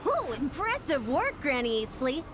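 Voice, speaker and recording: A woman's voice: sits high, very animated, moderate pace, noisy background. A woman playing a confident, spirited young female warrior and battle leader in an anime game. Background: game music.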